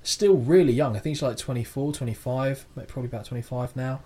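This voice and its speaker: A man's voice: mid-range voice, quick pace, mellow, breathy edge. A man co-hosting a wrestling podcast, teasing his co-host with sarcastic banter. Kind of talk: casual chat.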